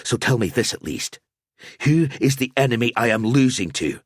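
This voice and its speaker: A man's voice: medium pitch, lively intonation, normal speed, breathy edge, dry recording, studio quality, pitched above the performer's own voice. A man voicing a stubborn, brave male warrior who pleads and demands with urgent force. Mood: defiant.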